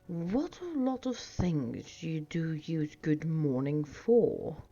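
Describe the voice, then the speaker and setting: A woman's voice: sits low, expressive, slow, warm tone, full character voice. A woman voicing a stern, teasing old wandering wizard in a wordy, pointed storybook reading. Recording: dull sound with music behind.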